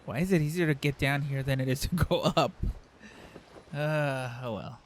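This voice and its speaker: A man's voice: a little high, moderate pace, a little hiss or hum. A man giving casual gameplay commentary as a streamer, speaking into a USB microphone. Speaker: game streamer. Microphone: USB dynamic microphone.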